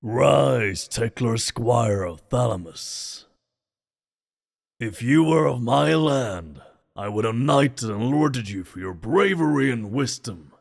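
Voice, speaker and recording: A man playing a stately, grateful king in a fantasy audio drama, speaking formally and ceremoniously with a gravelly voice. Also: mid-range voice, lively intonation, slow pace, full character voice. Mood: solemn, gracious. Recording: long hall reverb.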